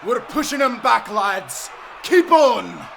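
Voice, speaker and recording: A man's voice: extremely high pitch, big pitch swings, slow pace, vocal fry, a bit bright, shouting, a little hiss or hum, exaggerated voice. A man playing a bold, defiant Scottish timberman with a swaggering manner in an audio drama. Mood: rousing, combative.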